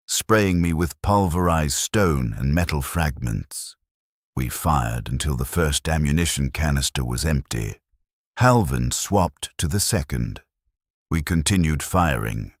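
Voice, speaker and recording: A man narrating a scripted first-person war story in short, clipped sentences with a grave, measured delivery. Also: a bass voice, no room sound, studio quality.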